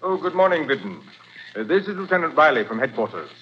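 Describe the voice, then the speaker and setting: A prim museum doctor in an old radio drama, his formal, precise phrasing moving through a lively pitch range. A dull, lo-fi recording with faint hiss. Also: fast. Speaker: museum director. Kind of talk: 1940s radio acting.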